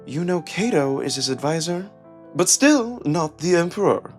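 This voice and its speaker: A man voicing a stern, guarded she-wolf noblewoman with a measured, cutting, skeptical manner. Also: on the high side, very animated, faint music behind, voice pushed up.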